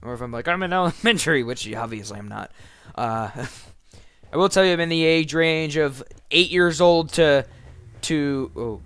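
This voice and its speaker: A teenage boy with a nasal, scratchy voice from being sick, commenting on his gameplay in a casual, animated, rambling way. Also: a little high, moderate pace, no echo. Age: teens. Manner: animated, rambling, breaks into shouting and muttering. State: sick, congested voice with cracks. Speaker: gaming YouTuber. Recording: clear background noise, clicks.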